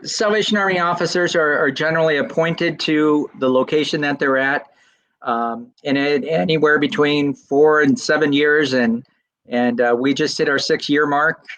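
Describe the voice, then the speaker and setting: A man's voice: fairly high pitch, a bit bright, dry recording, poor audio. A Salvation Army officer answering interview questions over a video call, earnest and explanatory, often restarting his words.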